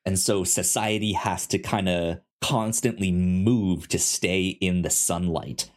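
A man co-hosting a podcast in casual review chat, phrasing his thoughts in a somewhat halting, hesitant way. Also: low voice, steady tone. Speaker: podcast co-host.

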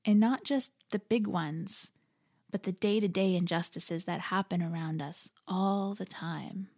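A woman hosting a podcast, delivering a reflective scripted monologue in an earnest, measured, articulate way. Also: lowish voice, darkish tone. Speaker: podcast host; interfaith leader.

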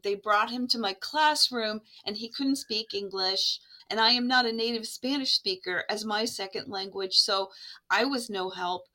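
A woman with a bright, forward voice shares classroom memories on a podcast. She talks in an earnest, reflective way, building each story step by step at a mid pitch. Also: moderate pace, low-quality recording. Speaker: guest, English learner program administrator.

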